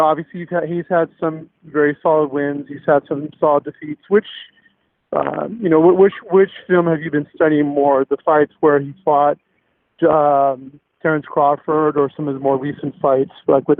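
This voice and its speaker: Male journalist, slightly high and level voice, halting informal questioning, thin phone audio. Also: no echo. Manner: halting, repeating and rephrasing. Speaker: reporter asking questions. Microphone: phone on a conference call. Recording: thin telephone line.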